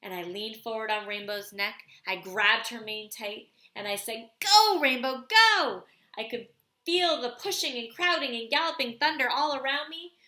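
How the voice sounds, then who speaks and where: A woman's voice: on the high side, moderate pace, sharp tone, roomy sound. A woman voicing an eager, earnest young boy in a storybook read aloud. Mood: excited.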